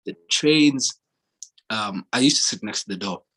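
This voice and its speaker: Young man, lower-pitched and husky, loose conversational storytelling. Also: a little slow. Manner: relaxed storytelling, frequent fillers and restarts. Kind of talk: casual chat.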